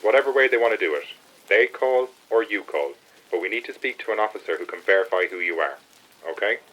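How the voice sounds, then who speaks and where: A man's voice: fairly low pitch, lively intonation, fast talker, fairly bright tone, poor audio. A man acting a rule-bound Irish helpline official in a radio play, formal, firm and procedural. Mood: brisk, dismissive.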